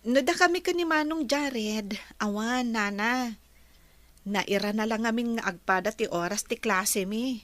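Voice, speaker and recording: A young woman with an Ilocano Filipino accent playing a gentle, sympathetic college student in a radio drama. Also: on the high side, a little slow, no room sound. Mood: hesitant.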